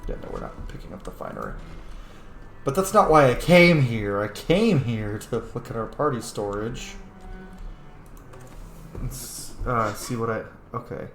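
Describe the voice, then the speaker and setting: A man's voice: mid-range voice, lively intonation, measured pace, pauses often, fairly bright tone, faint music behind. A man giving casual let's play commentary, rambling and muttering to himself as he goes. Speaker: gaming streamer. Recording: roomy echo, faint background noise.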